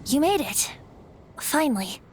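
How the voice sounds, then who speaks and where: A woman's voice: sits high, very animated, unhurried, darkish tone. A woman voicing a boyish, carefree ancient wind spirit who is a playful, wistful bard in a video game. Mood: lighthearted. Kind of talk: video game voice acting, storytelling.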